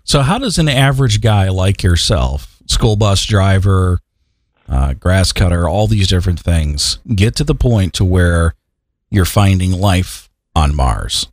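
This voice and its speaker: Lively low-voiced male interviewer. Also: unhurried, dry recording, clean studio sound. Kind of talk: interview questions.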